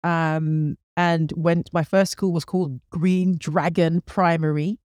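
London-accented woman, lively storyteller with fillers and laughs, dry close sound. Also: lowish voice, takes it slowly, dry recording. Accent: London English. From United Kingdom. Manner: animated storyteller, laughs often, many fillers. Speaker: podcast host, guest. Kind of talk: casual interview chat.

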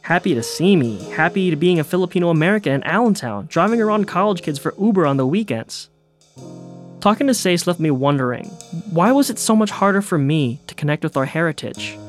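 A man's voice: on the high side, expressive, brisk, faint music behind. A man narrating his own documentary story in a wry, self-deprecating way. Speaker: host and narrator.

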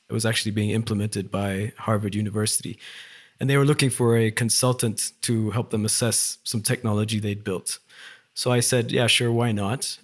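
Male guest in his forties from Ethiopia, a CEO with a mid-range voice and a relaxed, reflective storytelling manner. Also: medium pitch. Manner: relaxed, reflective, with occasional fillers. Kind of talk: conversational storytelling.

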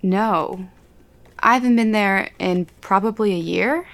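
A woman's voice: medium pitch, a little slow, close to an everyday voice. An actress playing a guarded, direct woman in her late thirties in an audio drama, speaking dryly. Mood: guarded, questioning.

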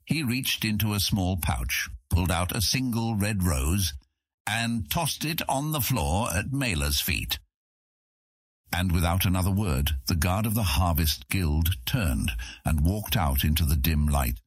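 A man's voice: deep voice, animated, measured pace, breathy edge, no echo. A man narrating a fantasy audiobook in a dramatic, scripted style.

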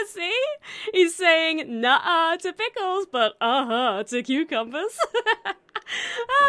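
A chirpy, officious manager in audio comedy. Her voice sits high and moves with lively, sweeping intonation. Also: slight background noise, exaggerated voice. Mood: amused, giddy. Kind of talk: audio drama comedy acting.

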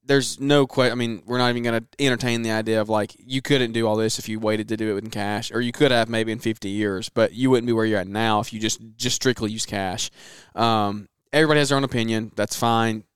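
A man's voice: fairly low pitch, steady tone, brisk, hardly any pauses, smooth, no echo, studio quality. A man hosting a casual interview, chatty and agreeable, asking questions in a warehouse. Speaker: podcast host, interviewer.

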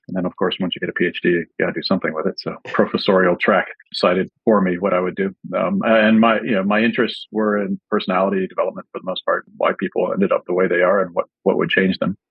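A man's voice: sits low, husky, no echo, muffled recording. A man, a psychology professor and guest, telling anecdotes with dry, self-deprecating humor. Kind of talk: interview answers, anecdotal.

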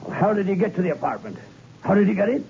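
Gravelly, animated police chief. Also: male voice, high voice, fast, full character voice. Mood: sceptical. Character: irritable, perplexed male police chief. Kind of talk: 1960s radio crime drama acting. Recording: dull, noisy old radio recording.

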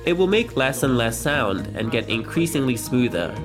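Calm male voice-over with music beneath. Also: medium pitch, normal speed. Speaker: voice-over translator for an artist. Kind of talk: translated interview voice-over. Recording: voice-over with loud music behind.

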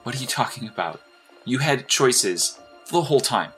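Low-voiced, defensive hero strategist. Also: female voice, expressive, moderate pace, faint music behind. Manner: insistent, self-justifying. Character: defensive, calculating behind-the-scenes support hero, arguing over a call. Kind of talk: audio drama acting.

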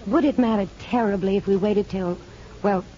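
A woman's voice: medium pitch, moderate pace, mellow, lightly acted. A woman playing an anxious, affectionate young nurse, hesitant and earnest, in 1950s radio drama style. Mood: tender, pleading. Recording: dull, noisy 1950s radio recording.

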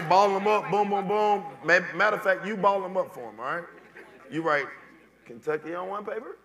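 A man co-hosting a live podcast Q&A, brash, profane and teasing with the audience. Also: high-pitched. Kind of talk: loud joking live Q&A banter. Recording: crowd and room noise at live event.

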